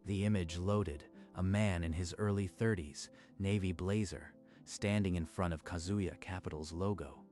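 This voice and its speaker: Very deep-voiced male narrator. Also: soft background music. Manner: measured, even storytelling. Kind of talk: scripted story narration.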